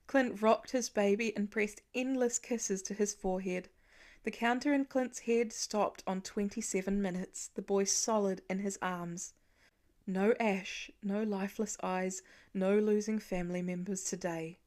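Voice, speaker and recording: A woman reading a scripted story aloud as an expressive audiobook narrator, with a medium-pitched voice. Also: unhurried. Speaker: fan fiction audiobook reader.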